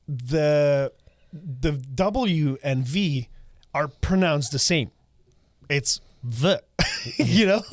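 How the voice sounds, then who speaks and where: A man from Slovakia with a Slovak accent, hosting a casual podcast chat, laughing often and talking in quick bursts. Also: a little high, no echo, clean studio sound. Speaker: podcast host.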